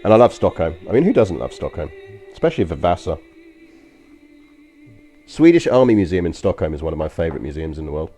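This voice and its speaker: A man's voice: deep voice, big pitch swings, fast, long pauses, dark tone, slightly rough, faint music behind. A man with a London accent chatting casually while streaming a strategy game, rambling with frequent ums and uhs. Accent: London English. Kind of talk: casual gameplay commentary to stream chat.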